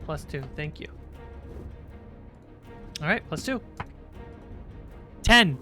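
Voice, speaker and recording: A man's voice: fairly high pitch, lively intonation, drawn-out words, over music. A man acting as game master, explaining rules, prompting the player and giving short confirmations. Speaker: game master, host of the livestream. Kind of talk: tabletop game refereeing and narration.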